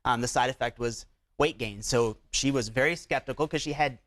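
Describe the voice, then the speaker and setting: A man talks quickly in a mid-range voice as a doctor guest on a TV interview. He explains in a conversational, promotional style, often checking that the listener follows. The sound is dry. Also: mid-range voice, fairly bright tone, no room sound. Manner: explanatory, uses rhetorical check-ins like "right?" and "okay?".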